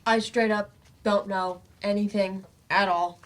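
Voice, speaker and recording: A woman's voice: mid-range voice, steady tone, unhurried, close to an everyday voice. Woman acting an awkward, slangy student. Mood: awkward, casual. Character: awkward, slangy, blunt adult remedial student. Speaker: actor in a staged play reading. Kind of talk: stage play reading. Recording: noisy room at live staged reading.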